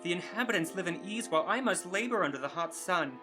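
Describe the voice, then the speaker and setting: A man's voice: high voice, lively intonation, quick pace. An actor playing a poor, weary male porter with a lamenting, declamatory manner in an audio drama. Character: poor, weary, complaining porter, humble and courteous. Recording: prominent guitar music under the voice.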